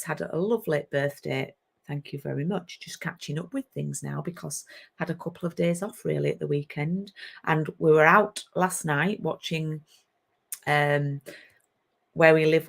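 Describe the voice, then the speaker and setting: Chatty Yorkshire woman, lowish voice. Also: gravelly, fairly bright tone. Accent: broad Yorkshire. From Yorkshire, England. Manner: chatty, greets viewers by name, frequent ums and restarts. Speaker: card-making demonstrator hosting a live stream. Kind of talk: casual live-stream craft chat.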